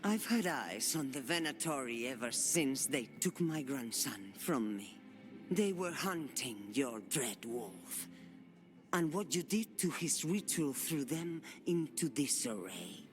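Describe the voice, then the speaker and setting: Stern old assassin grandmother for a game, slow grave delivery, swoops in pitch, bit of music behind. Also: sing-song, full character voice. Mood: commanding, determined. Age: older. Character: stern, commanding elderly matriarch of an assassin house. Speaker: actor. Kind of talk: dramatic game cutscene acting.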